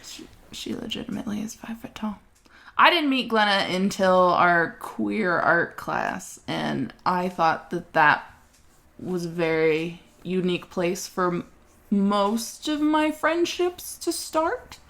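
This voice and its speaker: A young woman co-hosting a casual art podcast, telling her story earnestly with animated asides and laughter. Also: medium pitch, slow pace, gravelly. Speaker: podcast co-host. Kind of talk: casual chat.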